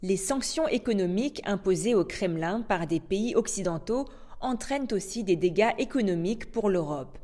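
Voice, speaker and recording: A woman anchoring a news bulletin, reading in a steady, formal way. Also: mid-range voice, normal speed. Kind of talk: scripted news narration.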